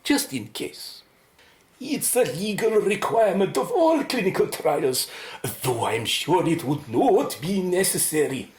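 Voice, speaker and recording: A proud, excitable medical scientist in a radio play, presenting her experimental cure. She speaks slowly and formally, with sweeping, animated intonation, in a roomy, echoing space. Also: lowish voice. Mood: eager, courteous. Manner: formal, showy, precise. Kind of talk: radio drama acting.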